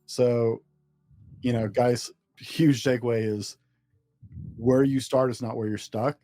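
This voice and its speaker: A man's voice: mid-range voice, steady tone, slow, dark tone, no echo, faint music behind. A man hosting an interview, encouraging his guest and summing up points for his listeners. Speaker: podcast host.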